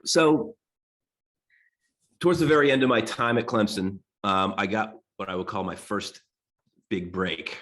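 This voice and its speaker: An American man in his forties, nasal from a cold and clearing his throat, gives a loose, rambling talk to a design class. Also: on the low side, unhurried, pauses often, fairly bright tone. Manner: loose and rambling, full of restarts and fillers. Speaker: guest designer giving a talk.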